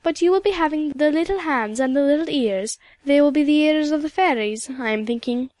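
A woman reading a storybook, voicing a proud, dignified old widow with a Highland Scottish accent and a rich, emphatic delivery. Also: a little fast, darkish tone, dry recording, close to an everyday voice. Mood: firm, confiding. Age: older. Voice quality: rich, powerful. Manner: stately, emphatic, lilting turns of phrase.

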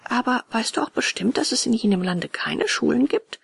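A woman puts on an eager wooden puppet boy for a storybook. The voice sits high and leaps about with excitement. This naive child exclaims, repeats words for emphasis and keeps wavering. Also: normal speed, gravelly, slightly rough, exaggerated voice. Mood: curious. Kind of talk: storybook character dialogue.

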